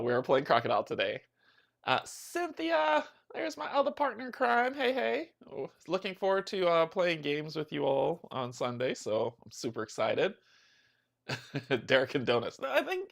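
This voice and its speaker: A man's voice: on the high side, creaky, fairly bright tone. A man hosting a casual livestream of a tabletop game, chatty and upbeat, greeting his viewers by name and joking about himself. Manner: chatty, upbeat, self-deprecating. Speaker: streamer and host.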